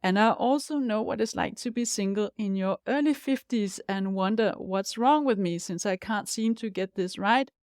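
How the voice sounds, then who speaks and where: Danish woman, 50s, life coach host; upbeat, direct to listener, mid-pitched, dry close mic. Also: normal speed, few pauses, darkish tone, smooth, no echo. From Denmark. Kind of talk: scripted podcast monologue.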